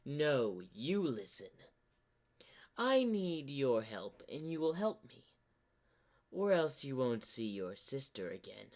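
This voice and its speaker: A man voicing a sinister corrupted double of a zebra hero, smug and menacing, with theatrical, taunting, drawn-out phrasing. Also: mid-range voice, sing-song, darkish tone. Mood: threatening.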